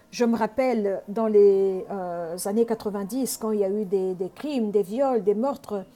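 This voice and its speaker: A woman's voice: fairly high pitch, dark tone. A woman from Congo preaching an earnest sermon, teaching with frequent hesitations.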